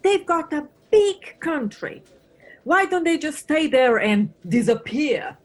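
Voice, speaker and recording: A woman's voice: high-pitched, big pitch swings, slow, full character voice. A woman acting in an audio play as an irritable, weary Flemish police officer, dismissive and sardonic. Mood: exasperated, scornful. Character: gruff, irritable female police officer from Flanders, weary, scornful of tourists.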